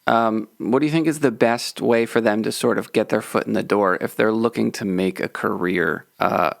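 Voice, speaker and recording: A man's voice: on the low side, vocal fry, fairly bright tone, no echo, studio quality. A man interviewing a guest, attentive and a little hesitant in his questions. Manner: attentive, agreeable, hesitant phrasing.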